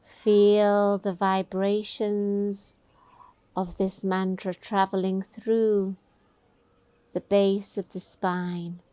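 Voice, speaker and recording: Very slow, clear-voiced woman guiding meditation. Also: mid-range voice, little pitch movement, no room sound. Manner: soothing, unhurried, instructing gently. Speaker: meditation teacher. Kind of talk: guided meditation.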